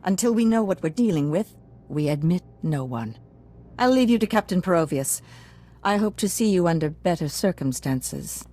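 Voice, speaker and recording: Game noblewoman: lowish register, haughty, formal, guarded, stern. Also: expressive, normal speed, dark tone. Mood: stern, wary. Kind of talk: scripted video game drama acting.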